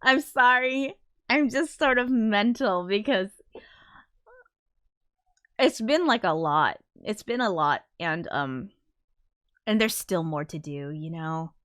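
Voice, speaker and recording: A woman's voice: mid-range voice, a bit bright, no room sound, studio quality. A young woman hosting her own livestream, chatting casually and a bit self-deprecatingly while a little sick and sniffly. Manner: chatty, self-deprecating, swearing, quick laughs. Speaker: livestream host.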